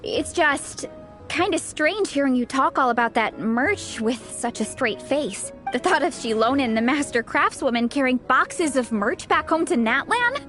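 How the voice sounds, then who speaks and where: An eager young team captain from a video game. Her voice is high and swings widely in pitch, perky and encouraging throughout, with soft music underneath. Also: animated, measured pace. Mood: earnest, amused.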